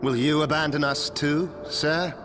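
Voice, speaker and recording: An actor playing an earnest, sorrowful noble knight in a fantasy game, with formal, dramatic, archaic phrasing. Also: male voice, a little high, steady tone, unhurried, over music, close to an everyday voice. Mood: anxious.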